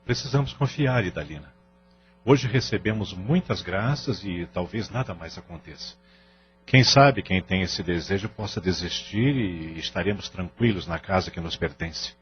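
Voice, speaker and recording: A man's voice: deep voice, expressive, normal speed. A man playing an earnest, reassuring middle-aged family father in an audio drama, speaking formally and soothingly. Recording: dull audio with faint hum.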